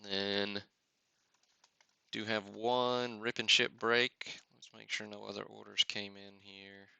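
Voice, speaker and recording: Man, very crisp and forceful, low-pitched, casual livestream host, off-the-cuff asides. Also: level tone, slow pace, dry recording, muffled recording. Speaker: host of a sports card break livestream. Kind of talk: casual live commentary on card openings.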